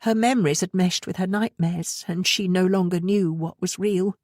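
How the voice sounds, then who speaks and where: A woman with a husky voice reading a novel aloud in a measured, expressive storytelling manner. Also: fairly low pitch, dry recording, clean studio sound. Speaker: audiobook narrator. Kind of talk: scripted fiction narration.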